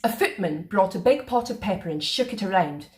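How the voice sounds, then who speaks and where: A woman reading a children's picture book aloud in a playful, expressive way. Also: medium pitch, fairly quick. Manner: expressive, sing-song. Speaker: storyteller.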